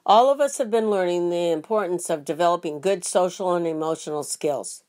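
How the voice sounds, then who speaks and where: A woman, a teacher, reading aloud to children in an expressive storybook style. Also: on the low side, brisk.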